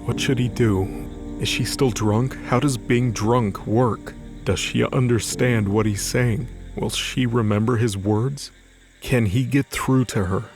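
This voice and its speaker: A man's voice: mid-range voice, fairly even intonation, measured pace. A man reading scripted narration for an audio drama in a dramatic storytelling style. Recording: faint music behind the voice.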